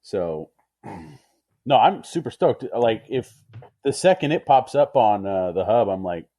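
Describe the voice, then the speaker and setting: A man's voice: lowish voice, very animated, slow, warm tone. A man chatting casually about comics as a podcast co-host.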